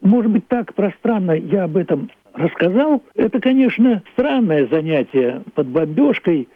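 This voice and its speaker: Elderly male, reflective radio monologue, very lively intonation, emphatic repetitions, dry narrow-band sound. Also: sits high, no echo. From Russia, Leningrad. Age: older, 80s. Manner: deliberate, philosophical, emphatic phrasing with repetitions. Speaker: playwright. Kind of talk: reflective radio monologue about own play and history. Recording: thin phone-like band-limited sound.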